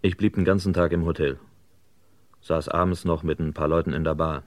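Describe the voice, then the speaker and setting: A restless reporter tells his story in laconic first person. His voice is deep and sits in a dry, echo-free space. The style is that of a vintage crime radio play. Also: steady tone, a little fast. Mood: laconic, reflective. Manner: terse, laconic.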